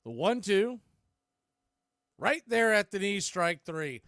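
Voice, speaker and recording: A man's voice: high voice, very animated, slow pace, fairly bright tone, no room sound, clean studio sound. A man doing live baseball play-by-play as announcer and host, conversational and opinionated.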